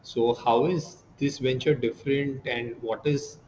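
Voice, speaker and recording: A man's voice: medium pitch, steady tone, measured pace, a little mellow. A man with an Indian accent asking questions as an investment analyst on a conference call, polite and hesitant in his phrasing. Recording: muffled, noisy conference line.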